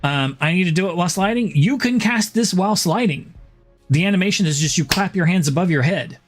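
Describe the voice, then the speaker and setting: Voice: mid-range voice, expressive, fairly quick, faint music behind. Laid-back game streamer explaining a build. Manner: laid-back, lots of ums and laughs. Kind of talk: casual gameplay chat.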